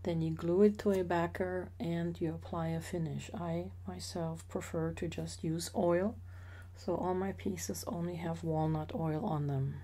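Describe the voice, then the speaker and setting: A middle-aged woman narrating a slideshow about her woodworking hobby in a measured, explanatory way with occasional fillers. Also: lowish voice, fairly even intonation, warm tone. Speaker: associate professor sharing a hobby.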